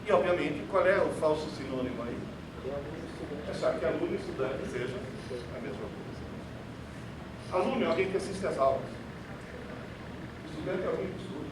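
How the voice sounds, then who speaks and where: A man's voice: fairly high pitch, lively intonation, brisk, long pauses. A man who learned Portuguese as a second language gives a theatrical, sarcastic lecture to an audience in an auditorium. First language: not Portuguese. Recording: echoey hall, distant mic, noisy.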